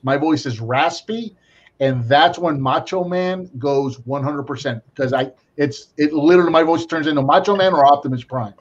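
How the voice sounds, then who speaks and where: A man co-hosting a casual chat show, talking loudly and rambling with quick restarts. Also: a little high, highs cut off. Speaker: podcast co-host.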